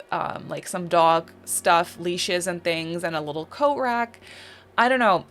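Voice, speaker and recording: A young woman from the Netherlands with a Dutch accent, chatting casually over a game build and rambling with lots of fillers. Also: mid-range voice. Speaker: Sims builder and YouTuber.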